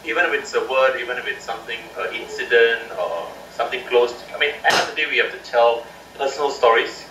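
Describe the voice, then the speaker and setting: A man's voice: medium pitch, fast talker. Man, hesitant, searching for words, echoey room, noisy background. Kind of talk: casual group discussion. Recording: roomy, distant mic, noisy.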